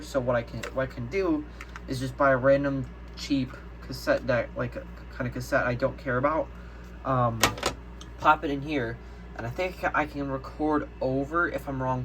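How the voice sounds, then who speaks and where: Young man, off-the-cuff show-and-tell, meandering, medium pitch, faintly noisy home recording. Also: steady tone, fairly quick, a bit bright. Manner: rambling, easily sidetracked. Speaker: hobbyist video maker. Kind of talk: casual unscripted show-and-tell. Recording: noticeable background noise, amateur home video.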